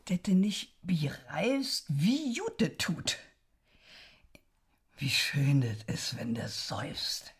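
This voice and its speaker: Drunk, slurring neighbor. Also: female voice, deep voice, sing-song, husky, full character voice. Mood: dreamy. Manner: slow, slurred, dreamy whispering. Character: drunken warm-hearted female neighbor.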